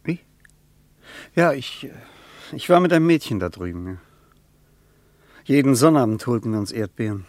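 A man's voice: mid-range voice, sing-song, normal speed, pauses often, no room sound, natural voice. An actor playing a plain-spoken German man on his travels in a radio drama, talking in a conversational, somewhat hesitant way. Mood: wistful. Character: plain-spoken German male traveller, wartime veteran, reminiscing.

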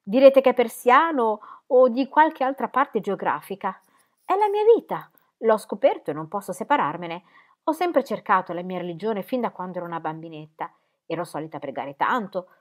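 A woman reading aloud voicing an earnest, devout young woman who talks softly and sincerely, then with warm fervor. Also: medium pitch, animated, normal speed, natural voice. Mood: fervent.

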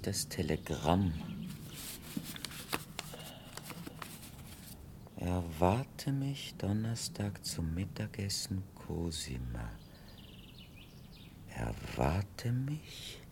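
An actor playing a wealthy, idle young bachelor in a 1960s radio play, brooding and evasive, speaking in a whimsical, flowery, mannered way with musing pauses. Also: male voice, deep voice, heavily acted. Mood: puzzled reading. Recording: noisy vintage 1960 radio recording.

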